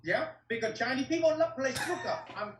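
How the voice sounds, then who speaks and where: A man's voice: very high voice, animated, a little fast, a little hiss or hum. A middle-aged man from Vietnam, a martial arts teacher telling his story in an interview in blunt, broken English and swearing often. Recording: roomy echo, distant mic.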